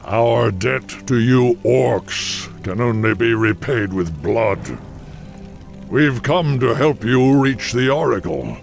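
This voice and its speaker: Old beast chieftain, very gravelly, measured, solemn, wise, video game, music behind. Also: male voice, animated. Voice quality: deep, gravelly. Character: old bull-man chieftain, huge, solemn, wise, protective.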